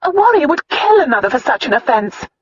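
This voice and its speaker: A woman's voice: high-pitched, sing-song, a little fast, no echo, highs cut off, pitched above the performer's own voice. A narrator voicing a proud, fiery young noblewoman who talks in an indignant, demanding way.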